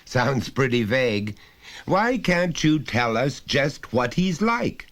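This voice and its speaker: A man's voice: mid-range voice, sing-song, slow pace, fairly bright tone, voice pushed up. A man voicing a stern, pompous, overbearing father who lectures insistently in a storybook reading. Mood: condescending.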